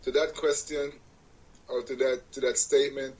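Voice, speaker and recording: A husky-voiced man, a guest chatting on a radio show. He talks at an easy middle pitch, circling back and correcting himself as he tells his story, with wry little jokes along the way. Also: level tone, normal speed, poor audio. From Jamaica. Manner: rambling, self-correcting, laughs at own jokes. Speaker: guest musician. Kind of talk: casual interview conversation.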